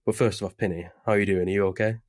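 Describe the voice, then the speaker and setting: A man with a deep voice hosts a relaxed podcast chat, talking loosely and filling gaps with ums and you-knows. The sound is close and dry. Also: very fast, dry recording. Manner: chatty, loose, with frequent fillers. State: recovering from covid. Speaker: podcast host. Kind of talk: casual podcast interview. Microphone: remote webcam call.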